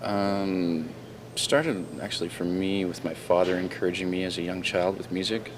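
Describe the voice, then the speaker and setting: Deep-voiced Canadian rock guitarist. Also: male voice, fairly even intonation, moderate pace. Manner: confident, rambling, clears throat. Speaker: guitarist and band founder. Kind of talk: casual interview answers. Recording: raw camcorder audio with noticeable noise.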